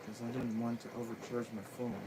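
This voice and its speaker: A man with a deep voice, chatting casually and haltingly on a live stream from home, with frequent restarts. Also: monotone. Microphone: phone. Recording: noisy phone stream with hum.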